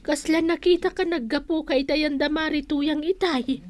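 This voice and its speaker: A woman's voice: sits high, fairly even intonation, normal speed, a little mellow, no echo, natural voice. A woman playing a nosy gossiping village storekeeper in a comedy radio drama, with a conspiratorial, insinuating manner. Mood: prying.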